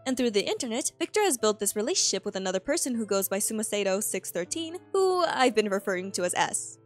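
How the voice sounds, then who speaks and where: High-voiced woman narrating over music. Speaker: host of horror analysis videos. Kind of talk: scripted recap narration with casual asides. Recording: music bed under the voice. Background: piano and eerie background music.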